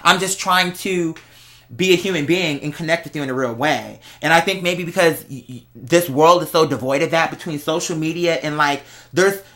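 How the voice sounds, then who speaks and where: A vlog host chatting casually and candidly to viewers, telling stories in an animated, slangy way. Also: mid-range voice, moderate pace. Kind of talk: casual confessional chat to viewers.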